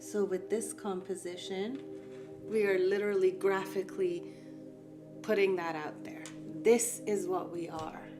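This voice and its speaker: Woman, Farsi first language, calm reflective art-lesson instructor; middle pitch, music bed under the voice. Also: a little slow. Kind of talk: guided art lesson talk.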